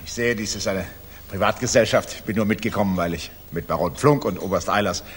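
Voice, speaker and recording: Male actor as stiff menacing bureaucrat, very low voice, quick clipped delivery, hissy echoing radio play. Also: fairly bright tone, close to an everyday voice. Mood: stiffly formal. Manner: formal, clipped, humourless. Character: stiff, cold, menacing Nazi propaganda official. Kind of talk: 1960s German radio drama acting. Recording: reverberant, hissy 1960s mono radio play.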